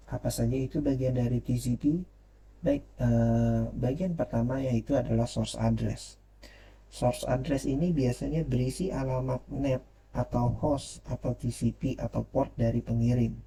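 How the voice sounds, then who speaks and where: A man's voice: mid-range voice, creaky, dark tone, rough recording. A man giving a formal read-out lecture presentation, occasionally stumbling over words as he reads. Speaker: presenter reviewing a technical paper.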